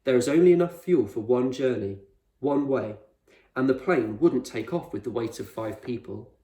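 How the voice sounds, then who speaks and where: A man voicing an eccentric, proud male explorer in a storybook, speaking with measured authority and reassurance. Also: medium pitch, animated, dark tone, lightly acted. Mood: firm, earnest. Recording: roomy echo, distant microphone.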